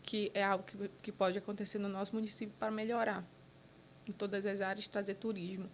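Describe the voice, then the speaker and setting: Woman from Brazil, podcast guest and entrepreneur; earnest, halting delivery, mid-pitched, faint rumble behind. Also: level tone. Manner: earnest, talks in short bursts with restarts. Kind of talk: casual interview conversation.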